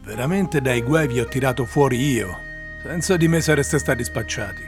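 An actor playing a brooding male warlock swordsman in an Italian audio drama, speaking low and guarded. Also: moderate pace, over music, close to an everyday voice. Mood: grim resolve.

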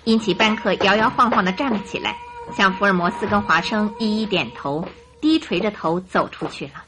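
A woman's voice: mid-range voice, brisk, noisy background. A woman narrating a Chinese radio drama in a scripted, dramatic storytelling style.

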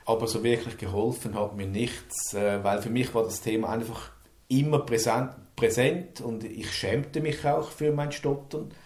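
A man's voice: mid-range voice, steady tone, measured pace, darkish tone, roomy sound. A man, an interview guest speaking earnestly about his life, stuttering and repeating words. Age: 50s. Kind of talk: personal interview.

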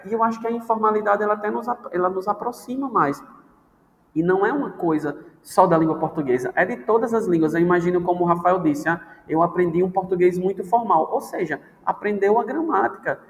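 Man, high voice, animated, playful teacher chatting, long room reverb. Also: a little fast. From Brazil. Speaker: podcast guest, language teacher. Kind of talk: casual podcast chat. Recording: long reverb, faint background noise.

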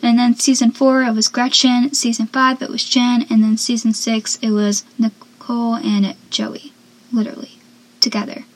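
Soft-voiced teenage girl, chatty. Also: a little high, level tone, normal speed, poor audio. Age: teens. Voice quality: soft, young-sounding voice. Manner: chatty, rambling, drops to whispers for asides. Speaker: podcast host. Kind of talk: casual solo podcast chat.

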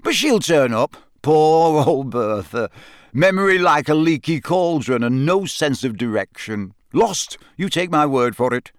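A man's voice: on the high side, expressive, measured pace, dry recording, studio quality. A man voicing a jovial, careless, boyishly enthusiastic wizard in an audiobook, with a breezy, chummy manner. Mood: comfortable, unconcerned. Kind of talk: audiobook character voice.

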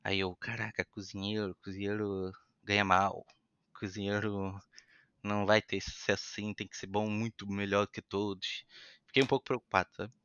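Casting: young Brazilian man, lightly European-tinged accent, animated storyteller, bright and crisp, slightly dull lo-fi audio. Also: fairly low pitch, moderate pace, no room sound. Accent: Brazilian Portuguese with some European Portuguese influence. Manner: animated, chatty, acts out quoted voices. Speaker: podcast guest. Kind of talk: casual storytelling interview.

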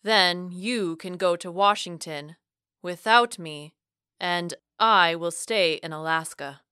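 A woman voicing a reserved, sheepish but earnest man who speaks deliberately and formally in audiobook dialogue. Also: lowish voice, pushed and bright, clear, resonant tone, dry recording, studio quality. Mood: subdued, resolute. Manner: deliberate, formal wording without contractions. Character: reserved, sheepish, earnest man pushing away his love.

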